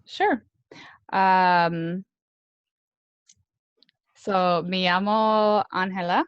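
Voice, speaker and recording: A woman in her thirties from the United States, a Spanish student, introducing herself hesitantly with many pauses on a video call. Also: medium pitch, fairly even intonation, clean tone, no echo, muffled recording. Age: 30s. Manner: hesitant, many ums while trying out Spanish. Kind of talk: casual video call chat.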